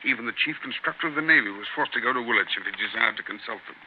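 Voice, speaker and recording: A man's voice: medium pitch, very fast, lightly acted. Man playing pompous official, tinny old radio. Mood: grave, urgent. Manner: pompous, grave, fussy. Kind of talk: 1930s radio drama acting. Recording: tinny, noisy 1930s radio recording.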